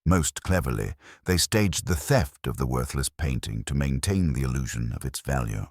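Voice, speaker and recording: Very deep-voiced male narrator. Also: dry recording, clean studio sound. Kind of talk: scripted mystery narration.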